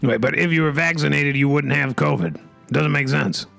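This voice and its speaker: A man with a deeply gravelly, fry-heavy voice pitched a little high, rambling through comic banter with mock-pompous flair. Faint music sits under him. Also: normal speed, sharp tone. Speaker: comedy podcast host. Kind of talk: improvised comedy banter.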